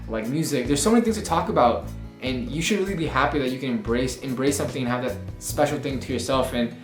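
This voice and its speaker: Laid-back young man co-host, rapid filler-heavy chat; faint music in the room. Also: mid-range voice, reverberant. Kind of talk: casual chat. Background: faint background music.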